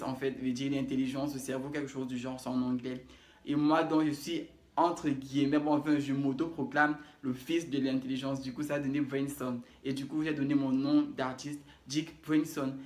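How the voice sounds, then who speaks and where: A young man with a Cameroonian French accent chats casually to camera, introducing himself. He rambles, repeats phrases and corrects himself as he goes. His voice sits at an ordinary pitch and rings in a roomy, echoey space. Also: darkish tone. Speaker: YouTuber. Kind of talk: casual self-introduction vlog. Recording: echoey room.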